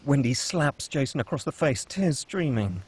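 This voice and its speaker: A man's voice: medium pitch, moderate pace, breathy edge, no echo. A man narrating the stage directions at a script table read. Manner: steady, matter-of-fact reading.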